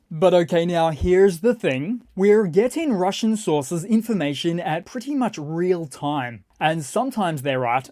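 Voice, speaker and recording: Mellow, dry-sounding scripted news narrator. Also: medium pitch, no room sound. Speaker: news commentator and channel host.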